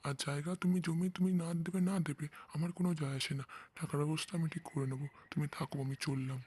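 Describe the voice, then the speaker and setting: A man's voice: on the high side, steady tone, fast, a little mellow, breathy edge. A man voicing an earnest, plain-spoken village family man in a cartoon story. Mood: firm, annoyed. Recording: noisy track with clicks.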